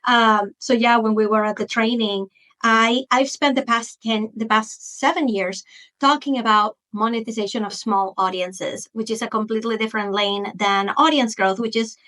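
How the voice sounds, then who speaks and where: A woman in her forties from Venezuela with a Venezuelan Spanish accent, a Spanish speaker, chatting as a guest in an interview, animated, with quick restarts. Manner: animated, quick restarts, jumps into high-pitched quoted asides. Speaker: guest, marketing expert. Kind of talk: casual interview chat.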